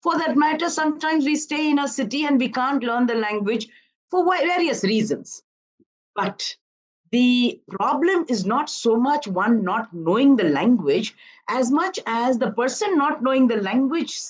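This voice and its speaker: A woman in her fifties from southern India speaks as an activist guest on a news panel. Her voice sits a little high, and she argues in an earnest, measured way. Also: rough recording. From Karnataka, India. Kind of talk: live news panel interview.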